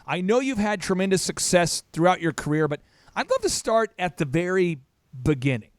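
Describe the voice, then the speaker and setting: A man's voice: high-pitched, big pitch swings, moderate pace, no echo. An American man hosting a podcast interview with a showman-like, emphatic delivery that repeats phrases. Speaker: podcast host.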